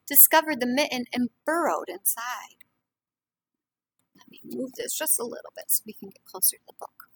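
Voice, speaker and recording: A woman reading a picture book aloud as a teacher of young children, pausing for simple explanations and questions to the listeners. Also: fairly high pitch, fairly bright tone, poor audio.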